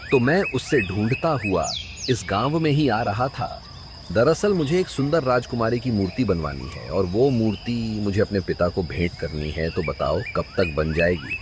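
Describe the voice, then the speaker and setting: A man's voice: on the low side, background noise, natural voice. A man voicing a haughty young prince in a cartoon story, with a formal, imperious manner.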